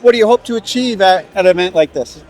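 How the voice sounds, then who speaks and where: A man interviewing students at a networking event, upbeat and encouraging, with quick follow-up questions. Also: sits high.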